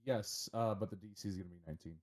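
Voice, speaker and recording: A man's voice: deep voice, very fast, a little mellow. A man running a tabletop game, narrating casually and informally as game master.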